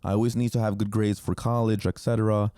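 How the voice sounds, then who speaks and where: A man's voice: on the low side, flat delivery, fast, dark tone, no room sound, studio quality. A man in his twenties, a native Spanish speaker, hosting a podcast and telling stories from his childhood in a loose, candid way, with frequent ums and casual swearing. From New Jersey, United States. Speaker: podcast host. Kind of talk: casual solo storytelling.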